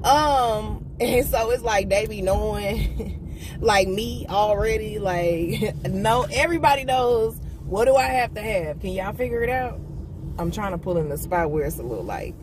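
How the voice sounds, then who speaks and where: A woman's voice: medium pitch, a little slow. A young woman vlogging from inside a parked car at night, ranting bluntly and profanely to her viewers. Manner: blunt, profane, animated rant. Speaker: vlogger, entrepreneur. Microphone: phone camera on the dashboard. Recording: car interior with clear noise.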